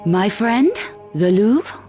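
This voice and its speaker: A woman's voice: medium pitch, very animated, slow pace, mellow, soft background music. A woman playing a brash, cool adventurer heroine in a video game, with a curt, sardonic and defiant manner. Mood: businesslike, guarded. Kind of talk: video game cut-scene acting.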